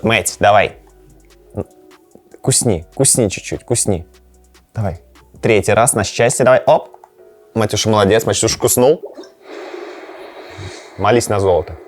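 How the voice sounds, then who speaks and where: A man hosting a gaming and cooking vlog, chatting casually and playfully, sometimes to his cat. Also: lowish voice. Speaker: host of a gaming and cooking channel. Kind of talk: casual chat to camera with gameplay reactions.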